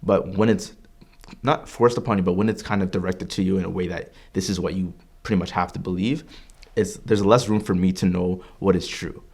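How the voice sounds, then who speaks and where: A man's voice: sits low, fairly even intonation, fairly quick, gravelly, darkish tone, breathy edge. A man in his early twenties from Canada, a religious studies student giving an earnest, reflective personal testimony with frequent fillers and restarts. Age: 20s.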